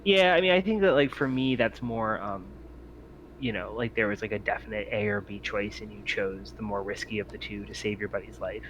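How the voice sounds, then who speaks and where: A man's voice: fairly low pitch, brisk, fairly bright tone. Male game master, informal and hedging, casual tabletop chat, dull muffled sound with background noise. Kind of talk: casual talk running a tabletop RPG session.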